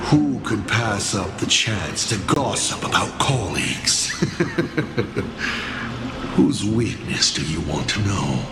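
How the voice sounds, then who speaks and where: A man's voice: on the low side, animated, reverberant, very noisy. A man voicing a theatrical, playful villain lord in a video game, teasing and grandiose in his delivery. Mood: mocking, indulgent. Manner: teasing, grandiose, drawn-out phrasing. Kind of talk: video game character acting.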